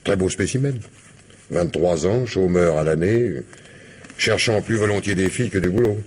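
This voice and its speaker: A man acting a brisk, weary, wry police commissioner in a French radio crime drama, with a French accent and blunt colloquial delivery. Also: low-pitched, darkish tone, breathy edge.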